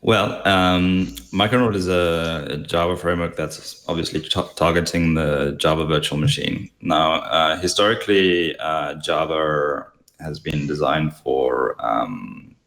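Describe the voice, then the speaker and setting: A man from Zimbabwe, answering interview questions in a halting way. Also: extremely low pitch, a bit bright. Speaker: podcast guest, software developer.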